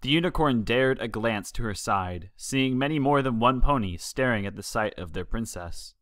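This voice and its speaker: A man's voice: medium pitch, expressive, normal speed, fairly bright tone, no echo, clean studio sound. A man reading a story aloud as scripted narration with dramatic delivery. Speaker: fan fiction reader.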